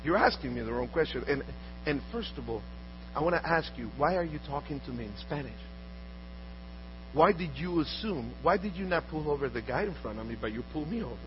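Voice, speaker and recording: A man's voice: fairly high pitch, lively intonation, brisk, soft background music. Earnest man from Honduras telling stories. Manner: earnest, repeats words and restarts often. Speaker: speaker telling personal stories. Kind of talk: storytelling talk. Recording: dull audio with faint mains hum.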